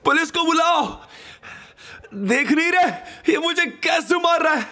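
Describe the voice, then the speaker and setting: A man's voice: extremely high pitch, animated, a little slow, bright, forceful tone, pitched above the performer's own voice, heavily acted. A man voicing a whiny, vengeful bully who blusters in an aggrieved way after being humiliated, in an audio drama. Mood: pained, outraged.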